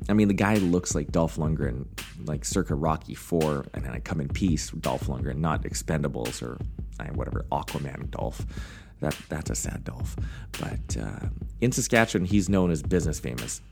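A man with a very low voice hosts a podcast in loose, casual talk. He rambles along in a jokey, self-deprecating manner. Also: expressive, normal speed, vocal fry, darkish tone, faint music behind. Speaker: podcast host.

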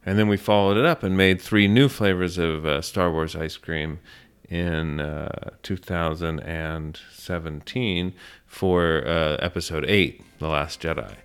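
A middle-aged American man with a very low, clean, resonant voice. He talks as a business owner being interviewed, telling stories conversationally and pausing to restart his phrases. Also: clear, resonant tone. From South Florida, US.

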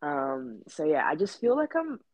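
Low-voiced young woman chatting loosely. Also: big pitch swings, measured pace, dry recording. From Rwanda. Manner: rambling, many fillers and restarts. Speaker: podcast co-host. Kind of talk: casual chat.

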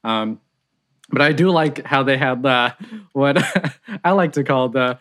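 A man chatting casually about a music video, relaxed, laughing often, with many fillers. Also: mid-range voice, a little slow. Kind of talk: casual reaction chat.

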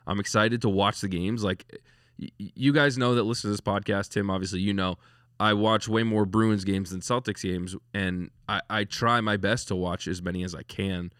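A man's voice: lowish voice, steady tone, moderate pace, fairly bright tone, no echo, clean studio sound. A man hosting a casual sports podcast who rambles with lots of restarts and laughs easily. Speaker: podcast host.

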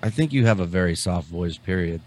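A man's voice: low-pitched, expressive, a little fast, a little mellow, slight background noise. A man co-hosting a podcast over a video call, teasing and upbeat. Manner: teasing, upbeat, calls people dude. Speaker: podcast co-host. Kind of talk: casual chat. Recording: music under the voice. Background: music.

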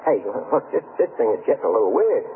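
An actor in a 1940s radio drama playing a cynical city tough guy with a soft heart, talking in slangy, ungrammatical speech. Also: mid-range voice, big pitch swings, quick pace, a little hiss or hum. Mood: bewildered. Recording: muffled old radio broadcast, music behind.

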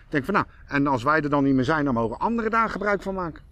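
A man's voice: a little high, very animated, very fast, sharp tone, no echo, highs cut off. A man with a Netherlands Dutch accent co-hosting a podcast in casual chat, emphatic and often repeating himself. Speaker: podcast co-host.

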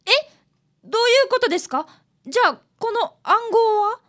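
A woman voicing a very high-pitched eager schoolboy. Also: animated, normal speed. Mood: puzzled. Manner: earnest, polite boyish speech. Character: eager, polite fifth-grade boy apprentice detective. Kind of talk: radio drama acting.

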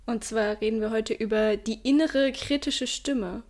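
Young female podcast co-host, giggly, slangy, a bit high-pitched. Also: a little slow, a little mellow. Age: 20s. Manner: giggly, mixes English slang. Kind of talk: casual chat.

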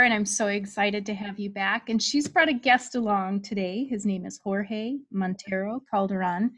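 Upbeat woman hosting an interview. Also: medium pitch. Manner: upbeat, welcoming host. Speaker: host. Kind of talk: video call interview.

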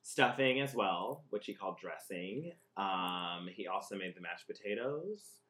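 Husky, animated young-sounding man. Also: low voice, unhurried. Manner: chatty, laughing, defensive when teased. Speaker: podcast co-host. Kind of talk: casual podcast chat.

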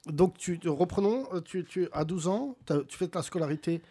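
A man's voice: high voice, animated, moderate pace, a crowd in the background. A man hosting a casual conversation, asking questions and joking teasingly. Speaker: host.

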